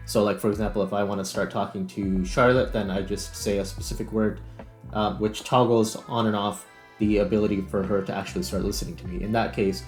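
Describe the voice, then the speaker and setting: Man casually narrating a game-making tutorial, music behind. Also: lowish voice. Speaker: game developer hosting a tutorial series.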